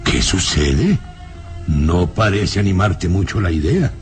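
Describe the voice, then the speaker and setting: An actor playing a grave, imperious old nobleman father in a radio drama, speaking in a commanding, deliberate way. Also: sits low, very animated, exaggerated voice. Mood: cold, commanding. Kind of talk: radionovela acting. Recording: vintage radio drama with music behind.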